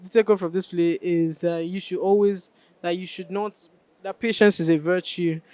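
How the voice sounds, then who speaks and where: A man's voice: sits high, fairly even intonation, moderate pace, a little mellow. A young man with a Nigerian accent explaining his acting role in an interview. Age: teenager or young adult. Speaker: student actor in a school play.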